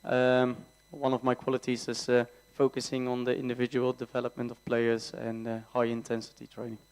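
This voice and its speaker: Flat, clear-voiced young man. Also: mid-range voice, a little fast, poor audio. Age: 20s. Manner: hesitant, filler-heavy. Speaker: youth football coach. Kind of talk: press conference self-introduction.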